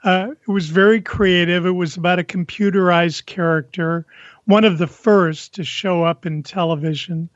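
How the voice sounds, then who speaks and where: An older male interview guest with a notably high voice for a man. He talks conversationally, recounting things as a guest would, on a very dry and slightly dull recording. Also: steady tone, normal speed, no echo. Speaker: podcast guest, composer and musician.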